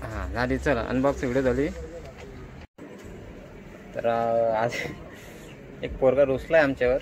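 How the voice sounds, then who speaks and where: A man's voice: mid-range voice, fairly even intonation, measured pace, a little mellow, faint music behind, poor audio. A man giving casual, informal vlog commentary, mixing in bits of English and Hindi. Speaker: vlogger host.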